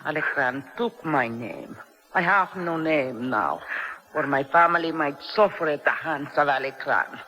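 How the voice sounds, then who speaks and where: An actress playing a protective, defiant prisoner in a radio drama. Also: female voice, low-pitched, measured pace, sharp tone, poor audio. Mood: bitter grief. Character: protective, defiant tortured female prisoner, university student. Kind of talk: 1970s radio drama acting.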